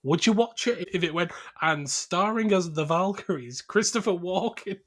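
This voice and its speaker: A man's voice: high voice, expressive, moderate pace, fairly bright tone. A man co-hosting a podcast, talking casually about films with friends. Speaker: podcast co-host. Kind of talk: casual film chat.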